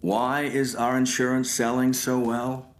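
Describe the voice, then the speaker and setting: A man playing a self-righteous insurance chief executive giving a measured, formal interview statement. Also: mid-range voice, level tone, background noise, lightly acted. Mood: composed, sanctimonious. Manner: pompous, formal.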